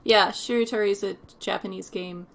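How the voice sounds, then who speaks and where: A woman's voice: mid-range voice, steady tone, fairly quick, creaky, slight background noise. A woman chatting casually on an online voice call, blunt and teasing, stopping to correct the others. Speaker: stream co-host. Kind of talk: casual group chat on a voice call. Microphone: online voice chat mic.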